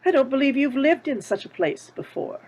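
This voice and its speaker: A woman's voice: sits high, lively intonation, fairly quick, darkish tone, muffled recording. A woman reading a shrewd, haughty elderly landlady at a table read, probing with each question.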